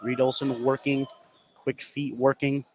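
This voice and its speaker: A man doing live play-by-play basketball commentary on radio, getting excited on big plays. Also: mid-range voice, measured pace. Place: indoor school basketball gym. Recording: noisy gym ambience behind.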